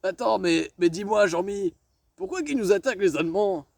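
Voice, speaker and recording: A man's voice: high-pitched, animated, moderate pace, vocal fry, darkish tone, dry recording. A young man clowning as a dim-witted sidekick in an amateur comedy sketch, stammering through questions. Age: teens or young adult. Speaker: comic sidekick in a school history video. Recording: homemade video with faint noise.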